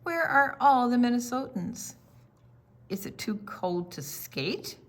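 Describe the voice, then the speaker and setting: A woman reading a picture book aloud to young children in an expressive, question-and-answer storytime style. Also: a little high, slow pace.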